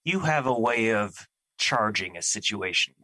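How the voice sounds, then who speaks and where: A man's voice: on the low side, lively intonation, measured pace, bright, forceful tone, no echo. A man playing Fear as a personified emotion in an audio drama, troubled, defensive and duty-bound, with earnest, formal phrasing.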